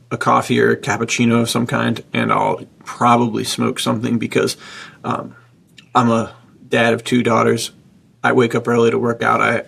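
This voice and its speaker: Very gravelly man, casual podcast talk. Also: medium pitch, a bit bright. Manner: informal, bro-like slang. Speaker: podcast host and writer.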